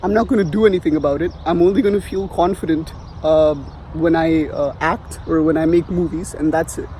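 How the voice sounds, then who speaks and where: A man's voice: on the high side, fairly even intonation, a little fast, warm tone, slight background noise. A young man in his twenties from India with a light Indian accent, giving rambling casual advice outdoors, full of fillers and restarts. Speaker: vlogger. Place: outdoors on a sunny street.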